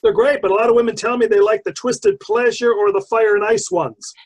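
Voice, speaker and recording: A woman's voice: a little high, steady tone, a little fast, darkish tone, natural voice. A woman reading announcements and small scripted parts for a readers theatre. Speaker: announcer and bit-part reader.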